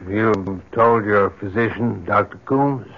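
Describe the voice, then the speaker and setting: Radio drama clergyman: low, gravelly, hesitant, politely patronizing, dull vintage recording. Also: takes it slowly. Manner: hesitant, formal. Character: skeptical, mildly patronizing clergyman, rational and hesitant. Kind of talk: old-time radio drama acting.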